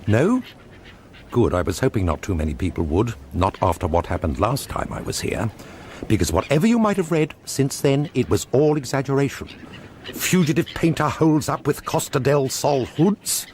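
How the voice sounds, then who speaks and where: An actor playing a wry, evasive middle-aged painter on the run, confiding in a British accent in a radio drama. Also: male voice, medium pitch, sing-song, normal speed, a little mellow, a little hiss or hum, close to an everyday voice. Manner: droll, self-justifying, verbose. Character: wry, evasive fugitive painter reduced to pavement art.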